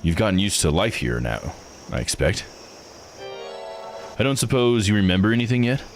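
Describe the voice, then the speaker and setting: A man voicing a blunt, plain-spoken man from a visual novel game, gruff and probing. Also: fairly low pitch, very animated, moderate pace, soft background music.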